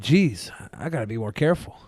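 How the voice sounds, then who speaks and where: A man's voice: mid-range voice, expressive, fairly quick, darkish tone, breathy edge, no room sound. A man joking around on a casual comedy podcast, repeating teasing lines. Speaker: podcast panelist.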